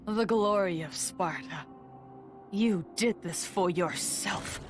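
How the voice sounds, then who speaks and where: An actress playing the weary, reproachful wife of a warlord in a video game. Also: female voice, mid-range voice, slow pace, music in the background, close to an everyday voice. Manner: reproachful, pleading.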